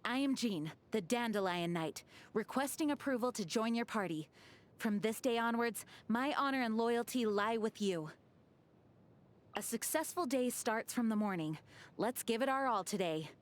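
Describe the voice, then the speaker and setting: An actress voicing a dutiful young woman knight and leader, speaking in a measured, earnest, formal way. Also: fairly high pitch, lively intonation, close to an everyday voice. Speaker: game character voice. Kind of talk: game character voice lines.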